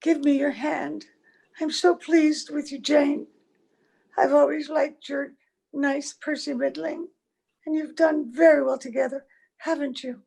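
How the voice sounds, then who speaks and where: A woman playing a weary, serene dying woman in a radio play, tender and halting, with a soft creaky voice. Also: sits high, flat delivery, low-quality recording. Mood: tender, blissful. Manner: halting, repeating phrases tenderly. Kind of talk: old-time radio play acting.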